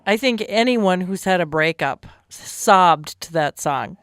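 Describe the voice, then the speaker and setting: A woman's voice: on the low side, lively intonation, slow, clear, resonant tone, no room sound, clean studio sound. A woman from Minnesota, a radio host, who chats eagerly and gushes while interviewing her guest. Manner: chatty, eager, gushing.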